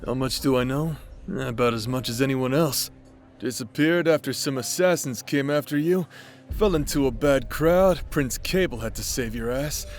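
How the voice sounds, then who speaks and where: A man's voice: medium pitch, normal speed, faint music behind, natural voice. A man playing a brash, protective nobleman captain in a fantasy audio roleplay, with a casual, teasing, confident manner. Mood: wry, confiding. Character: brash, protective adult nobleman warrior captain, easygoing but menacing.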